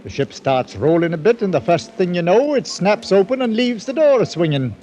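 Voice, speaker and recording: An actor playing a stolid, literal-minded sea captain with a hesitant, matter-of-fact manner in a 1940s radio drama. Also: male voice, sits high, sing-song, normal speed, mellow. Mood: fussy, deliberate. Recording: noisy old radio recording.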